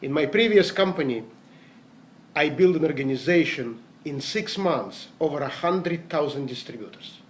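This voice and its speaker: Man in his 50s, from Latvia, earnest rambling sales speaker, slightly high voice. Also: a little mellow, a little hiss or hum. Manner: earnest, rambling, self-correcting, non-native grammar. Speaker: network marketing speaker. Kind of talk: motivational talk with personal stories.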